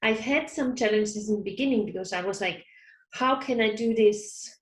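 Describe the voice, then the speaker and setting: A woman whose first language is German talks through a casual interview in a soft, hesitant way, often breaking into small laughs. Her voice sits at a middle pitch. It carries a noticeable room echo over what sounds like a video call. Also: normal speed. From Austria. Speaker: online counsellor, guest. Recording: roomy echo.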